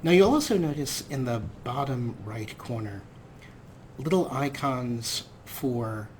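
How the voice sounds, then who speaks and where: A man's voice: medium pitch, lively intonation, slow, a little mellow. A man hosting a video game let's play, giving chatty, wry commentary with a showman's touch. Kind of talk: gameplay commentary. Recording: clearly noisy, game ambience underneath.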